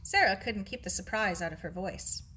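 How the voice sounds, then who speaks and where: A woman reading a children's novel aloud in an expressive storytelling style. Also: mid-range voice, moderate pace, slight background noise. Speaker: audiobook reader.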